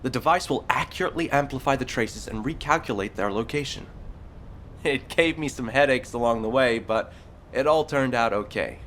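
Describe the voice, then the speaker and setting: Matter-of-fact mid-pitched male sci-fi engineer voiced by actor. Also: normal speed, fairly bright tone, slight background noise, close to an everyday voice. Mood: focused, pleased. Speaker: technician explaining a device. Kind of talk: video game voice acting, technical briefing.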